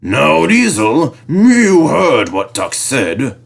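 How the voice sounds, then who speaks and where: A man's voice: a little high, wildly swooping pitch, drawn-out words, creaky, full character voice. A man voicing a stern but kindly railway controller in a storybook, formal and authoritative. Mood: stern, questioning.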